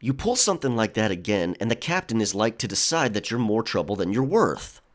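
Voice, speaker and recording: A man playing a stern, coolly menacing pirate quartermaster in an audio drama, with a measured, matter-of-fact drawl. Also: lowish voice, big pitch swings. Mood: exasperated, firm.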